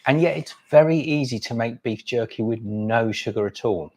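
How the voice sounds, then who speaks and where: A man's voice: mid-range voice, normal speed, a little mellow. A middle-aged man hosting a casual livestream, talking about food in a rambling, chatty way with frequent restarts. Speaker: livestream host. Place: home, eating during a livestream.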